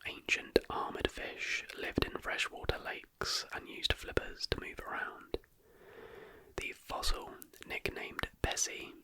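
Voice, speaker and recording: An ASMR host reads a scripted narration entirely in a whisper. The sound is bright and airy, with no full voice at all. The delivery stays soft throughout. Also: low-quality recording.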